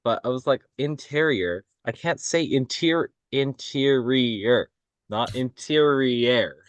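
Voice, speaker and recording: A man's voice: mid-range voice, takes it slowly, no room sound. A man chatting casually about films and scripts as a participant in a table read.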